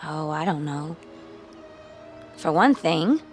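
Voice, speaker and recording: A woman's voice: low voice, expressive, slow pace. A young woman playing a frank, curious schoolgirl in a radio drama, casual and wry in manner. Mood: soft, curious. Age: young adult. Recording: music bed and vehicle sounds behind.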